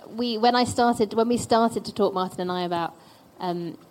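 A woman's voice: medium pitch, normal speed, darkish tone, slight background noise. A woman moderating a live panel discussion, introducing speakers with a hesitant, restarting delivery.

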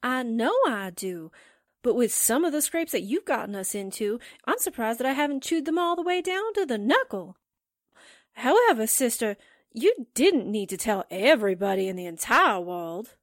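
A woman's voice: fairly high pitch, sing-song, normal speed, no room sound, clean studio sound. A woman playing a naive, literal-minded and easily flustered young assistant in a 1940s-style radio drama. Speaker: actor in an amateur radio drama re-creation.

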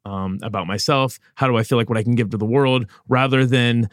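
A man's voice: mid-range voice, brisk, no echo, studio quality. A middle-aged man from Ohio with an American accent, chatting casually as a podcast co-host. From Dayton, Ohio, United States. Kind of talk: casual conversational discussion. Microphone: close studio podcast mic.

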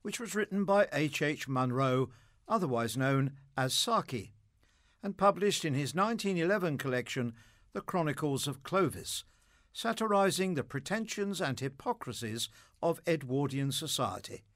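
A man's voice: a little high, expressive, moderate pace, fairly bright tone, no echo, studio quality. A man presenting a scripted audio magazine, reading trivia and introductions. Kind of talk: scripted quiz and narration.

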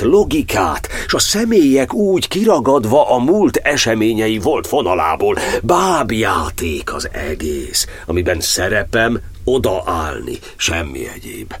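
A man's voice: deep voice, husky, exaggerated voice. A man playing an imprisoned aged cardinal, a proud and devout martyr, declaiming solemn verse in a radio monodrama with dramatic swings. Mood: bitter, scornful.

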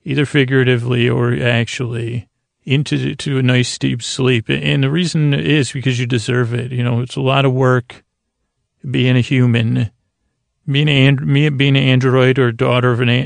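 A man hosting a sleep podcast in a meandering, halting, self-deprecating bedtime monologue, with a gravelly creak in his voice. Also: medium pitch, little pitch movement, no echo. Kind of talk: rambling, improvised bedtime monologue.

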